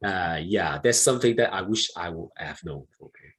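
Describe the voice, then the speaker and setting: A man's voice: low-pitched, expressive, normal speed, fairly bright tone. A man from Southeast Asia speaking as a panelist, giving chatty answers and often tagging his points with "okay?". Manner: chatty, keeps adding "okay?" at the end of points. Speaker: startup visa panelist.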